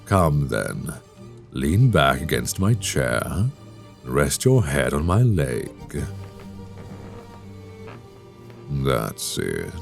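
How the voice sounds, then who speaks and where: Casting: possessive, condescending vampire master for intimate audio roleplay; extremely low voice, very slow, savoring delivery. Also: male voice, huge pitch swings, soft background music, full character voice. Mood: commanding, soothing. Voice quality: gravelly, very deep. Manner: silky, commanding, drawn-out, mock-tender. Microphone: close binaural microphone.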